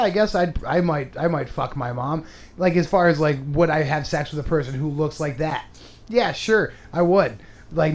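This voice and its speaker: Male comic podcast host, slightly high voice, profane and self-deprecating, wandering casual delivery. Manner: rambling, self-mocking, profane. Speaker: podcast host and stand-up comedian. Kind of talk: casual, crude comedic podcast chat.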